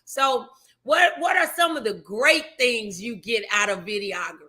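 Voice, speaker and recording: A woman's voice: fairly high pitch, normal speed, bright, forceful tone. A woman hosting a casual morning show, speaking in an emphatic, motivational and folksy, familiar way. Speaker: talk show host.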